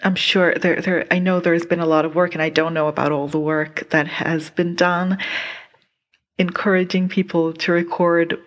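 A woman with a non-American accent giving informal spoken feedback as a listener, in hesitant, halting phrases. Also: fairly low pitch, flat delivery.

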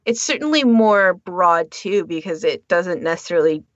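A woman's voice: lowish voice, lively intonation, moderate pace, no room sound, lo-fi sound. A woman co-hosting a podcast, explaining her thoughts at length in a casual panel discussion. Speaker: podcast co-host.

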